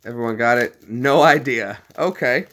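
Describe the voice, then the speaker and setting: A man's voice: mid-range voice, moderate pace, a bit bright, slight background noise. Chatty American man with a neutral accent. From Tennessee, United States. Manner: chatty and jokey, explains step by step. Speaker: vlogger. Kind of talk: casual chat to camera.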